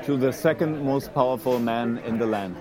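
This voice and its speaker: A man acting an uneasy Prussian immigrant tradesman in his late thirties, speaking with a German accent in halting short sentences in a period drama, over music. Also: medium pitch, crowd noise, lightly acted. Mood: uneasy, terse.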